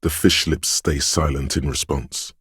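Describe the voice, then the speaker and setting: Video game inner voice of intuition: extremely low, husky and gravelly, brooding, dreamlike and ominous. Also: male voice, fairly even intonation, dry recording, studio quality, exaggerated voice. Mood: brooding, mysterious. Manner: slow, hushed, ominous, dreamlike, deliberate. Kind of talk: scripted video game narration in second person.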